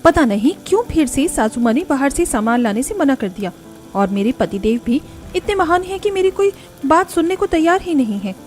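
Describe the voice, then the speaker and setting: Woman acting a young daughter-in-law, overworked housewife, fairly high voice, cartoon, music behind. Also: big pitch swings, normal speed. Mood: irritated. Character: young daughter-in-law, overworked, grumbling about kitchen chores. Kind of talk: Hindi family cartoon voice acting.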